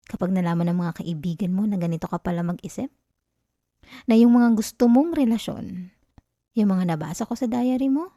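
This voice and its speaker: Woman acting a mellow possessive Filipino yandere girlfriend. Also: on the low side, no room sound, studio quality. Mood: menacing, taunting. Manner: intimate, teasing, code-switching into English words. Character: possessive, teasing yandere girlfriend, obsessive and controlling. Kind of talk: ASMR roleplay, spoken to the listener.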